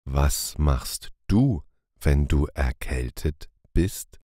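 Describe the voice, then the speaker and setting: Very deep, slow, dry male voice. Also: no echo. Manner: calm, deliberate reading. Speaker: language teacher. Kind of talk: slow phrase drills, each repeated.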